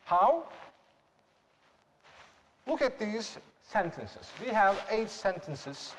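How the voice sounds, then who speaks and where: A young man with a Turkish accent giving a slow, deliberate classroom presentation and asking rhetorical questions. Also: high-pitched, very animated, in a large hall, a little hiss or hum, rough recording. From Turkey.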